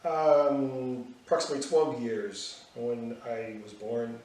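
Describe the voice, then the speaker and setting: A man in his sixties from the United States, answering interview questions plainly with many pauses. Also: mid-range voice, little pitch movement, a little mellow. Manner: plain, hesitant, many fillers. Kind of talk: recalled personal history in an interview. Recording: echoey room, far from the mic.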